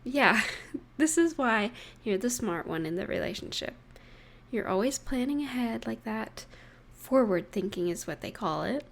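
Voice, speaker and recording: An affectionate girlfriend in an intimate roleplay audio, acted by a woman. Her voice sits somewhat high and she talks very slowly, leaving pauses. She is gentle and playfully teasing as she addresses her partner. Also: expressive, vocal fry, heavily acted. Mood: teasing, fond.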